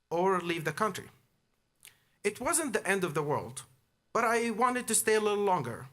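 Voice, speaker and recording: Guy in his 30s from jordan doing a graduation speech, bright forceful voice, kinda high. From Amman, Jordan. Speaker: commencement speaker, alumnus. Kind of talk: prepared commencement speech telling a personal story.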